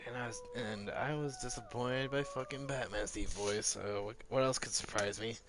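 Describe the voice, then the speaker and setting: A man's voice: medium pitch, moderate pace. A man chatting casually while he plays a game. He swears constantly, laughs often and restarts his words as he rants. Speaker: streamer. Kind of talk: casual chat while gaming.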